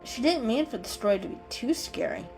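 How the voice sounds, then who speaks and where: Female storybook narrator, higher register, dramatic reading over light music. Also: expressive, a little fast, darkish tone.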